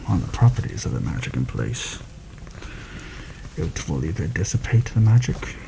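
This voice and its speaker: A very deep-voiced, shrewd male mercenary, acted out by a man in a soft-spoken ASMR fantasy roleplay. He confides his secret plans deliberately and a little formally, with a gravelly creak and lively swings in pitch. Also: background noise, exaggerated voice.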